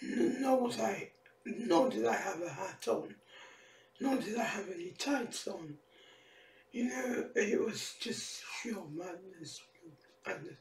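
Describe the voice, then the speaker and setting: A hoarse woman in her fifties who is losing her voice, rambling through a casual vlog and repeating her phrases. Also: mid-range voice, roomy sound, recorded from a distance, a little hiss or hum, low-quality recording. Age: 50s. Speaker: vlogger.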